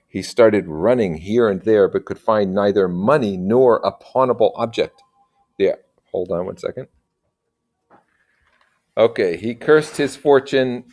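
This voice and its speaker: A man's voice: lowish voice, a little mellow. A man reading a story aloud and breaking off for informal, questioning asides as commentator. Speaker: reader and commentator.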